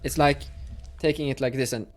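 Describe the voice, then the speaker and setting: A man narrating a tabletop roleplaying game as game master, improvising descriptions in a halting, searching way. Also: medium pitch, steady tone, background noise.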